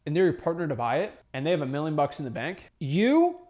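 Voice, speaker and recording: A young man coaching listeners on real estate wholesaling in an emphatic, energetic teaching talk, often checking in with "right?". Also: fairly high pitch, quick pace. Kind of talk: motivational teaching talk to camera.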